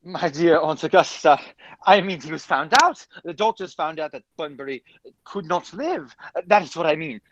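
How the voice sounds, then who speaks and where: A man playing an evasive, flustered young gentleman in an amateur radio play, hesitant and bluffing, on a dull, hissy recording. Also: on the high side, normal speed, close to an everyday voice.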